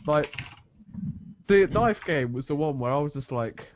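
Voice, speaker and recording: A man hosting a casual podcast chat over an online group call, animated and quick to push the talk along. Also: slight background noise. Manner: animated, lively pitch swings. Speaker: podcast host. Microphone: computer mic on an online group call. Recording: dull lo-fi online call audio.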